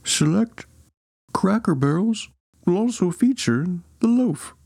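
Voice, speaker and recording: Lady playing a salesy marketing guy, slow, husky, warm, swoops around. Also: mid-range voice, lively intonation, no room sound, clean studio sound, voice pushed up, full character voice. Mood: pitching. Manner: announcing, promotional delivery. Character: male marketing director, pitchman, salesy.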